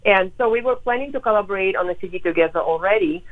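A woman's voice: medium pitch, fast. Woman on a tinny phone line. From Ukraine. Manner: earnest, many ums and uhs. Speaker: pianist guest. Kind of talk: phone interview. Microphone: telephone.

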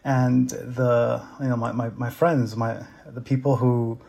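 A middle-aged man from the Dominican Republic with a New York accent, telling his own story in an interview, halting and restarting as he searches for words. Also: mid-range voice, level tone, gravelly, warm tone, husky. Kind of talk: reflective personal storytelling.

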